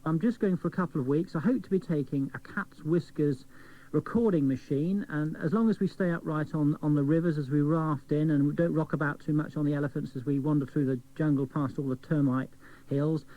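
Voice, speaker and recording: A man's voice: a little high, fairly quick. A man, a doctor being interviewed, explaining things articulately and telling anecdotes. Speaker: doctor, studio guest. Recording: tinny band-limited old cassette radio recording.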